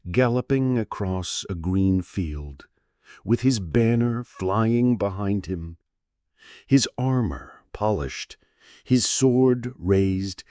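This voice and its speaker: A man narrates a history tale meant for falling asleep, taking his time over each phrase. His delivery is gentle and theatrical, with widely varying intonation and deliberate pauses. The recording is close and dry, free of room sound. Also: on the low side, no room sound. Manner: gentle, soothing, storyteller's dramatic pauses and rhetorical questions. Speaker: narrator of sleep history stories. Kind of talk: scripted bedtime history narration.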